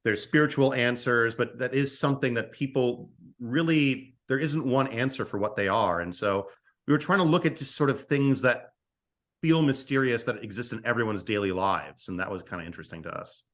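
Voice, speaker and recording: A man chatting casually as a writer guest in an interview, halting and thoughtful. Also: medium pitch, a bit bright.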